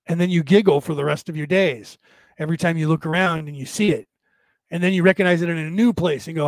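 A man hosting a casual livestream, rambling through spiritual musings with many restarts, his slightly raspy voice tiring. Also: a little high, no echo. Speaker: podcast host. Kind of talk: casual livestream monologue answering viewer comments.

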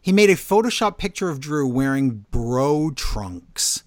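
A man co-hosting a wrestling podcast, recapping the week's shows as a lively story. He slips into little voices to act out what the wrestlers said. His voice sits at a middle pitch and sounds dry and close to the mic. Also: measured pace, no room sound. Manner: animated storytelling recap, acting out quotes. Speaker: podcast co-host. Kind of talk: casual wrestling recap chat.

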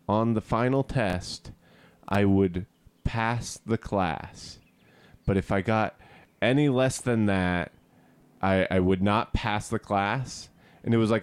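Low-voiced rambling man, dry close mic. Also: clear, resonant tone, dry recording. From Cape Cod, Massachusetts. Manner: rambling, hesitant, many fillers and restarts. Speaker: podcast co-host. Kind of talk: casual chat.

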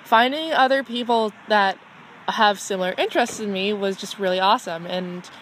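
A woman's voice: medium pitch, moderate pace, a bit bright, slight background noise, rough recording. A young woman in casual group chat, talking earnestly and rambling with restarts. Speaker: panel guest in a fan discussion.

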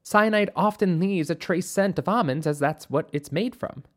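A woman's voice: lowish voice, moderate pace, pitched above the performer's own voice, lightly acted. Narrator voicing a composed, precise woman doctor. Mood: matter-of-fact. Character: woman doctor, composed, observant, wryly playful.

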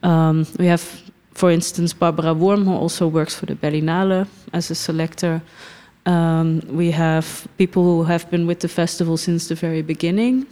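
A middle-aged woman from the Netherlands, a film festival director, speaking thoughtfully in a podcast interview with frequent pauses and soft laughs. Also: fairly low pitch, fairly even intonation.

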